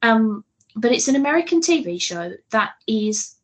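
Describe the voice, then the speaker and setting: A woman's voice: fairly high pitch, very animated, moderate pace. A woman chatting casually as a podcast co-host, giggly and talkative, with a loose, sweary way of talking.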